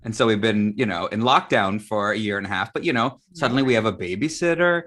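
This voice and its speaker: Middle-aged American male host, very bright, forward voice, informal and enthusiastic. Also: fairly low pitch, quick pace. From Arizona, United States. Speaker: talk show host. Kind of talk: live casual chat show hosting.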